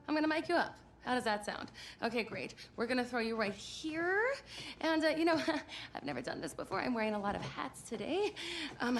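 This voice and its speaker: A woman's voice: sits high, a bit bright, slight background noise. A woman playing a chatty, nervous young producer in a game cutscene, rambling awkwardly with a giggly manner. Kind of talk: casual naturalistic game cutscene acting.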